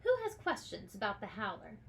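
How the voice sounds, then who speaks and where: A woman voicing a brisk, encouraging schoolteacher in a storybook, addressing a class firmly and deliberately. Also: mid-range voice, huge pitch swings, vocal fry, bright, forceful tone, slight background noise, full character voice. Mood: bright, brisk.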